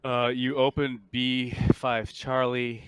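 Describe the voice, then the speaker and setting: A man improvising narration as game master of a tabletop game over group voice chat, halting and often restarting his phrases. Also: mid-range voice, little pitch movement, smooth, dry recording, poor audio.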